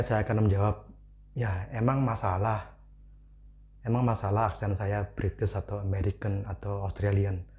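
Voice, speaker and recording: Relaxed low-voiced Javanese-accented man. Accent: Javanese, Malang. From Malang, East Java, Indonesia. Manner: relaxed, chatty, frequent "ya" fillers, light laughs. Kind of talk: casual spoken commentary.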